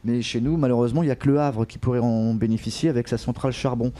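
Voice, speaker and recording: A man's voice: medium pitch, fairly even intonation, quick pace, warm tone. A man from France hosting a political show and putting questions to his guest. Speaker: political show host, interviewer.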